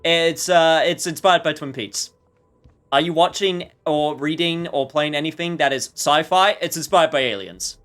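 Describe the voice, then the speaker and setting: A man's voice: a little high, moderate pace, a bit bright. A man doing casual, rambling, jokey live commentary while streaming a game.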